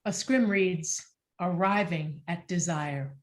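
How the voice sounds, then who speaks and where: A woman's voice: lowish voice, takes it slowly. A woman reading scripted stage directions as narration for a staged play reading.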